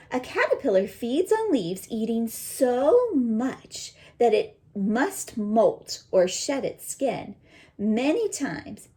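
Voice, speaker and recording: A woman's voice: a little high, creaky, dark tone, slight background noise. A woman teacher giving a read-aloud lesson to young children, explaining things simply and encouragingly with little check-in questions.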